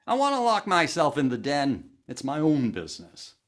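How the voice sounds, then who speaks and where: A man's voice: on the high side, huge pitch swings, moderate pace. A middle-aged man playing a vain, stingy, sulking boss in a recreated 1950s radio comedy, huffy and indignant. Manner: huffy, indignant comic delivery.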